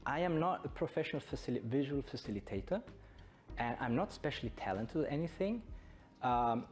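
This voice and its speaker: A man with a German accent speaks as a trainer at a conference. His medium-pitched voice swings up and down in an animated way as he talks informally and engages his listeners with questions. Faint music is heard with his voice. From born in Belgium, studied in Germany, long in Brazil. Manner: conversational, engaging the audience with questions. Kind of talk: informal interactive conference talk.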